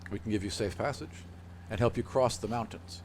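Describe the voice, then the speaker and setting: A man voicing a formal, solemn female bird-folk envoy who speaks in measured, polite but firm phrases. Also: on the low side, a little hiss or hum, natural voice. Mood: formal, guarded.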